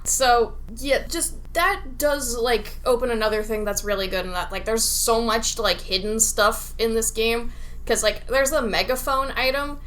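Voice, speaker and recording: A woman's voice: fairly high pitch, a little slow, a bit bright. A woman co-hosting a podcast in a casual, conversational review of a game. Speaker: podcast co-host.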